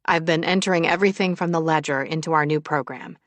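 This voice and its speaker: A woman's voice: low-pitched, steady tone, fast talker, no echo, natural voice. A woman voicing a confident, matter-of-fact male number cruncher in an audiobook. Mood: businesslike. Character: reserved, businesslike, confident man, a numbers person.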